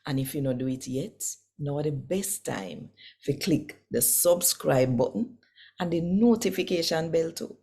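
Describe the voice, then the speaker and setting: A Jamaican woman giving an expressive, deliberate devotional scripture reading with measured, emphatic delivery, as a host would. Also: low-pitched, breathy edge.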